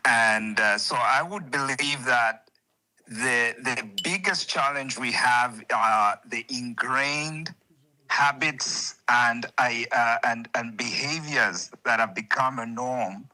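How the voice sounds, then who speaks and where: A man from Zambia calling in to a live talk show, speaking slowly with many hesitations and restarts. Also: mid-range voice, bright, forceful tone, poor audio. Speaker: caller. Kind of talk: call-in comment.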